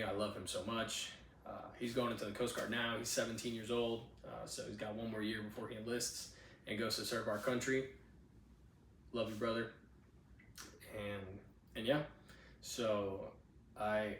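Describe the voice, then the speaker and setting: A man's voice: fairly low pitch, little pitch movement, moderate pace, reverberant, recorded from a distance, slight background noise. A man in his twenties, born in Cuba, chatting casually on a home podcast with a handheld mic in a spare bedroom. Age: 20s. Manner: laid-back, chatty, frequent "you know what I'm saying". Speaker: podcast host. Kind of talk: casual personal storytelling.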